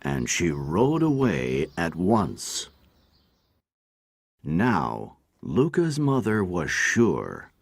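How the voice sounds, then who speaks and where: A man with an American accent and a deep, creaky voice, narrating a scripted storybook for learners. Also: huge pitch swings, drawn-out words, no room sound, studio quality.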